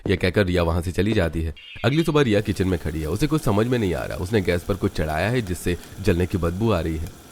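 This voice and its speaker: A man's voice: deep voice, animated, fairly quick, darkish tone, slight background noise. A man narrating a scripted cartoon family story, setting each scene as a storyteller.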